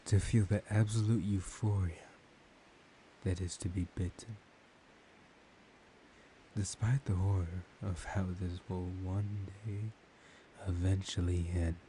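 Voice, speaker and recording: A man playing a dominant, menacing, seductive vampire in a soft-spoken ASMR roleplay, speaking in a hushed, deliberate way that slips into whispers. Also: low voice, flat delivery, low-quality recording. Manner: slow, hushed, lapsing into breathy whispers, deliberate pauses. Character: dominant, menacing, seductive male vampire hypnotizing his prey. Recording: audible background hiss.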